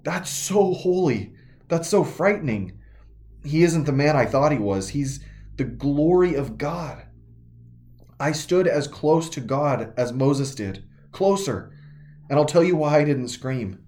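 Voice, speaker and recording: A man's voice: fairly high pitch, lively intonation, takes it slowly, a little mellow. A man voicing a shaken, grieving woman disciple in an intimate first-person devotional monologue, urgent and trembling. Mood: awestruck. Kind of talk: devotional reading in character.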